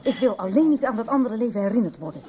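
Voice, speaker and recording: A woman's voice: a little high, expressive, fast talker, warm tone, lightly acted. An actress playing an embittered, defiant woman in a radio soap, curt and sarcastic, cutting people off. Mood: bitter, scornful. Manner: curt, sarcastic, cuts others off. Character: embittered, defiant woman rebuffing her former partner. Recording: radio drama with busy crowd ambience behind.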